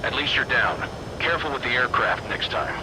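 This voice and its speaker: A man's voice: medium pitch, lively intonation, fairly quick. A man playing a stern military air traffic controller giving clipped instructions over the radio. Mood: businesslike. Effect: radio transmission. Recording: noisy radio, aircraft noise behind.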